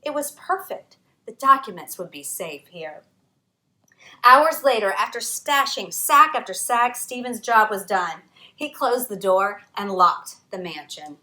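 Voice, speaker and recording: A woman author reading her own picture book aloud at storytime, expressive, with dramatic pauses. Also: medium pitch.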